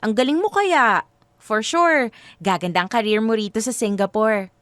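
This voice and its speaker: An actress playing a devoted, generous young girlfriend in a Filipino radio drama, sweet, upbeat and expressive. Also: fairly high pitch, normal speed, dry recording. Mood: reassuring.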